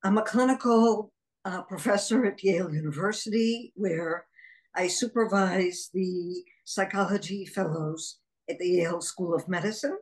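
A woman in her sixties who grew up in Israel, speaking slowly and deliberately as an interview guest. She pauses often and reaches for her words, unhurried and reflective as she tells her story. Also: fairly low pitch, rough recording. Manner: slow, measured, with frequent pauses and fillers. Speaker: guest psychologist.

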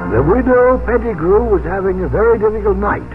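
A man's voice: high-pitched, lively intonation, fairly quick. A man narrating a scripted 1950s radio drama. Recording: narrow vintage radio recording, music behind.

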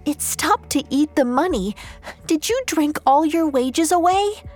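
A woman voicing a young girl who is frightened and furious at her father, speaking sharply and rising to shouts. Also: high voice, lively intonation, unhurried, faint music behind, voice pushed up. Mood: urgent.

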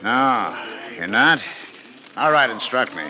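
An actor playing a brisk, skeptical police lieutenant in a 1950s radio detective drama, with a clipped, sardonic manner. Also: male voice, mid-range voice, lively intonation, bright, forceful tone, full character voice, low-quality recording. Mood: sardonic, businesslike.

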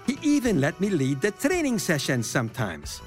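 A man's voice: a little high, wildly swooping pitch, normal speed, soft background music. A man playing a grown footballer looking back wryly. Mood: fond. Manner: fond, wry first-person storytelling. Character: grown football star recalling his boyhood.

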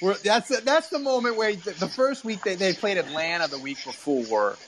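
Animated higher-voiced man rambling about sports. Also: normal speed, a little hiss or hum, poor audio. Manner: rambling, repeats words, acts out remembered reactions, swears. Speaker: sports talk show host. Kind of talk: casual sports chat and storytelling.

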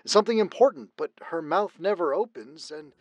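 An actor playing a troubled, haunted man who confides in a halting, intense way. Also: a little high, expressive, no room sound, muffled recording. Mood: troubled, uneasy. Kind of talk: audio drama acting.

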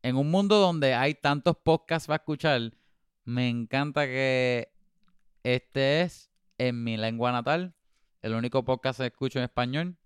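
Very clear-voiced podcast host. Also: male voice, mid-range voice, measured pace, no room sound, studio quality. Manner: playful, exclamatory, laughs often. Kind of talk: casual podcast chat mixing Spanish and English.

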